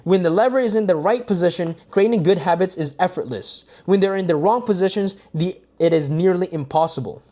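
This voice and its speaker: A man's voice: high voice, brisk, hardly any pauses, mellow. A man reading a self-help book aloud on a livestream, with stumbles and restarts and casual asides to his viewers.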